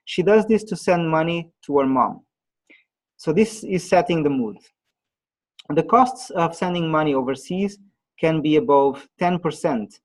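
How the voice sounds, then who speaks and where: A man presenting an online webinar on pitching, pausing often with fillers and restarts, heard over a video call. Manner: halting, many fillers and restarts.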